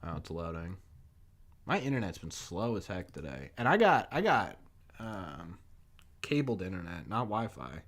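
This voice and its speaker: A hoarse young man with a New England accent, hosting a casual live-stream sports chat, rambling and thinking aloud as he answers viewers. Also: deep voice, big pitch swings, moderate pace. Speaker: sports podcast host.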